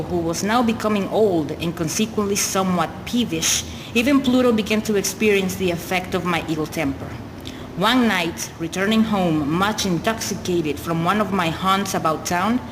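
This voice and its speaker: Over clear noise and long reverb, a confessional narrator recites in a radio play voiced by a woman. The voice is a little low, formal and measured, like someone reading out a literary confession. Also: close to an everyday voice. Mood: grave confession. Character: confessional narrator, an animal lover ruined by drink. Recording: noisy, long hall-like reverb.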